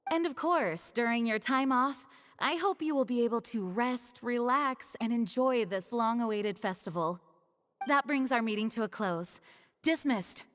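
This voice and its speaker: Composed lady knight commander played by a woman, bit high, measured and official, big echoing hall, game scene. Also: soft background music, lightly acted. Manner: formal, measured, gracious. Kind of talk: video game voice acting.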